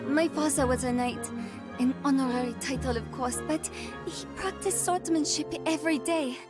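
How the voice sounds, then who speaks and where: Very high female voice, young chivalrous soldier, proud, formal, earnest, video game drama acted by a woman. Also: normal speed, music in the background, close to an everyday voice. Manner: earnest, formal, stately phrasing. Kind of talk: English game voice acting.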